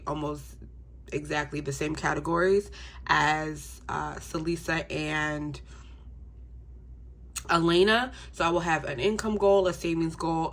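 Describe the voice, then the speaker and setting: A woman's voice: deep voice, creaky. A young adult woman with a congested voice, talking casually to her viewers in a budgeting vlog with frequent ums. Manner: chatty, relaxed, frequent ums. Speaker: budgeting YouTuber.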